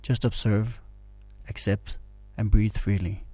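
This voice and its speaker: Male meditation narrator: low, even-toned, calm and instructional delivery. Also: slow, slightly rough, dry recording. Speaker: meditation guide.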